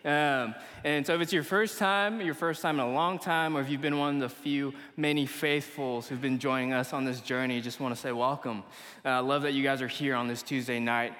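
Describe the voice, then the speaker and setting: A man's voice: medium pitch, fairly even intonation, brisk, fairly bright tone. A young man from Malaysia preaching casually to a young adults group at church, in an informal, slangy, conversational way. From Kuala Lumpur, Malaysia. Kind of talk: casual sermon to a live congregation. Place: church meeting hall. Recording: long reverberant hall echo.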